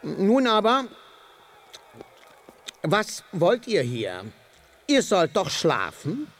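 A man's voice: high voice, wildly swooping pitch, drawn-out words, fairly bright tone, exaggerated voice. An actor playing an ancient, grumpy but good-hearted Sandman in a children's radio play, blustering and muttering to himself. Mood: mollified, musing.

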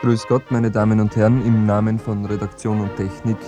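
A man playing a sober radio presenter who narrates a mystery case in a measured, formal, matter-of-fact way. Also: lowish voice, level tone, over music, lightly acted. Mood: earnest, measured. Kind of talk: scripted radio feature narration.

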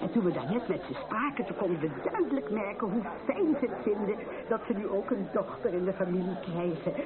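A middle-aged mother of the bride , played by an actress, sociable and proud, in a radio play. Her mid-pitched voice comes through a narrow, tinny recording amid a thick crowd. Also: animated, fairly quick, lightly acted. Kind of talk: Dutch radio drama acting.

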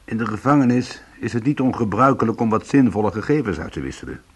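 A man's voice: on the low side, animated, quick pace, slightly rough. A man playing a bitter, sardonic small-time ex-convict in a Dutch radio play, protective and combative. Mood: bitter, wry. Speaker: lead actor and first-person narrator.

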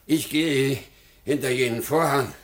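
A man's voice: mid-range voice, a little slow, close to an everyday voice. An actor playing a gleeful, malicious rich master in a radio drama, gloating and imperious as he relishes each word. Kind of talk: classic German radio drama acting.

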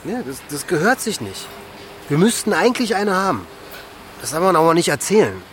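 An actor playing a frank German expatriate manager, talking informally and a little haltingly in a radio drama. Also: male voice, high voice, sing-song, a little hiss or hum. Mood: casual, matter-of-fact. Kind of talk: conversational documentary radio drama.

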